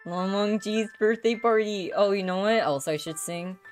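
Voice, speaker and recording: A woman hosting a livestream with casual, excitable chat, giggling and breaking into squeals over background music. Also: mid-range voice, moderate pace. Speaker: streamer host.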